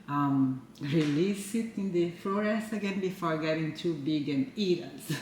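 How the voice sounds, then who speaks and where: Brazilian woman, slightly distant in echoey room. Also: deep voice. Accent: Brazilian Portuguese. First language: Portuguese. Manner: halting, with fillers and soft laughs. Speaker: co-host. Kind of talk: casual couple chat. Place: home. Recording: echoey room, a bit off-mic, faint noise.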